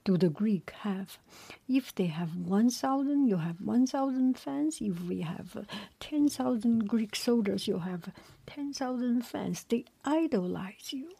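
A blunt Greek warrior scolds a proud comrade, his words whispered slowly by a woman reading aloud. The breathy hush sits dry and close. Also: a little high, expressive, no room sound, exaggerated voice. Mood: scolding.

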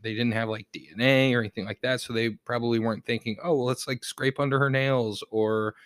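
A man's voice: medium pitch, steady tone, fairly quick, clear, resonant tone, dry recording. Wry man co-host, dry close sound. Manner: wry, jokey asides, questions the details. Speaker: podcast co-host. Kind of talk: casual true-crime chat.

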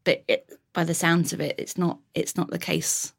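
Low-voiced woman host asking gentle questions. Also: little pitch movement, moderate pace, gravelly, slightly rough. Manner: gentle, hesitant questions with many fillers. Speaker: podcast host. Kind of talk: interviewing guests.

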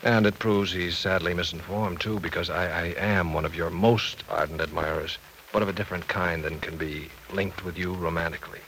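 An earnest professor in an old radio drama. The man has a fairly low voice and speaks with polite, slightly stammering formality. A light layer of vintage recording noise sits over him. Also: fairly even intonation, fairly quick, fairly bright tone, poor audio. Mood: courteous, diffident. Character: courteous, awkward, earnest bachelor professor.

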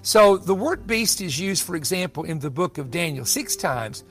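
High-pitched man teaching Bible prophecy. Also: lively intonation, moderate pace, fairly bright tone, soft background music. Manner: explanatory, emphatic, restating points. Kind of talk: sermon-style Bible teaching, partly off the cuff.

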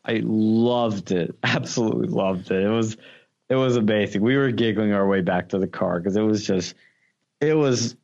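Young adult male podcast guest, excitable raconteur, foul-mouthed and effusive, slightly muffled low-fidelity recording. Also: moderate pace. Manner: gushing, profane, repeats superlatives. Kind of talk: casual enthusiastic anecdote-telling.

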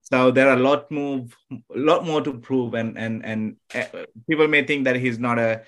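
A man from Sri Lanka, a podcast guest chatting casually, talking in halting bursts with many restarts and sudden emphasis. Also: medium pitch, steady tone, fairly bright tone. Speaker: podcast guest, former contestant. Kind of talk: casual chat.

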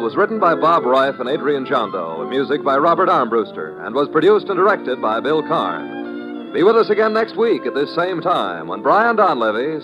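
Casting: male American announcer for old-time radio sign-off, lively intonation, dull vintage sound. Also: mid-range voice. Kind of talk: 1950s radio announcing, scripted credits. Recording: muffled vintage radio recording.

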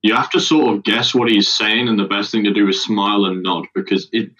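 A man's voice: fairly low pitch, fairly even intonation, brisk, fairly bright tone, recorded from a distance, highs cut off. A British man in a casual group chat, jokey and easygoing. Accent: British English. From England.

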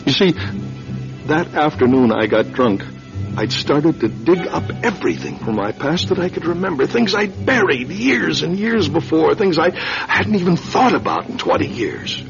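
Haunted political candidate, middle-aged American, halting and gravelly, swinging delivery, old radio drama sound. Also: male voice, a little high, big pitch swings, music in the background. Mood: confessional, haunted. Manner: halting, agitated, confessional. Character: troubled, guilt-ridden middle-aged male political candidate, hard-drinking. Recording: dull lo-fi radio recording.

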